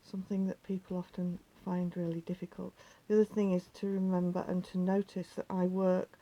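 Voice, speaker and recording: A woman with a slightly croaky voice, patiently talking viewers through a craft step by step and often dropping to a near whisper. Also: on the low side, flat delivery, brisk, dark tone, rough recording. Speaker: needle felting teacher. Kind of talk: hands-on craft tutorial.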